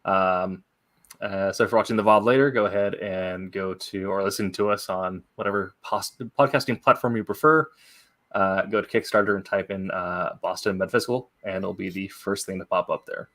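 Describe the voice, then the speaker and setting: A man hosting a podcast in a casual, halting way, with many pauses as he goes. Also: deep voice, steady tone, fairly bright tone. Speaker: podcast host.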